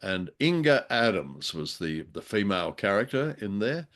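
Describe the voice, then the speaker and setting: A man co-hosting a conversational radio drama review, speaking in a measured, slightly hesitant and mildly wry way. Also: fairly low pitch, big pitch swings, fairly bright tone. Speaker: co-host.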